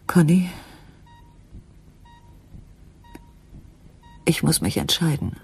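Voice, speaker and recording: A distraught wife in a radio crime play. Her low voice is very breathy and husky, swinging widely in pitch. She speaks haltingly and intensely, with quiet accusation. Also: sing-song, heavily acted. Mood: grieving, torn. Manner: halting, intense, often dropping to a whisper. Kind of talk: German radio crime drama acting.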